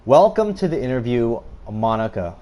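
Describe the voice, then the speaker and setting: Male host, casual interviewer, animated swings in pitch, teasing and jokey. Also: moderate pace. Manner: joking, teasing. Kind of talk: casual interview over gameplay.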